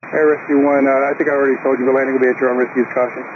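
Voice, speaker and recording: A male air traffic controller rattles off instructions at a quick clip. His delivery is terse and firm, coming through a thin, phone-like radio channel with heavy static. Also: medium pitch, little pitch movement. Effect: radio transmission. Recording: tinny, noisy aviation radio.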